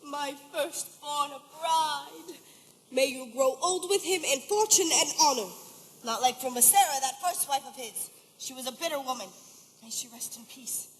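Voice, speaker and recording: A woman playing a sharp-tongued, fervent older village wife and mother in a stage musical, projected and dramatic. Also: high-pitched, a little slow, a little hiss or hum. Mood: overjoyed. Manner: scolding, dramatic. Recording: echoey hall stage recording from afar.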